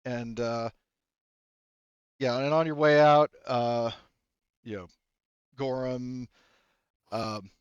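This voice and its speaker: A man working as game master, narrating an improvised story in a halting, conversational way with some casual swearing. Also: medium pitch, steady tone, clean tone, dry recording, lo-fi sound.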